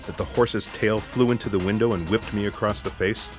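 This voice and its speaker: A man playing a calm, composed travel companion in audio theatre, speaking matter-of-factly. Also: lowish voice, a little fast, music in the background, natural voice. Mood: calm, deadpan. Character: calm, unflappable male travel companion who knits.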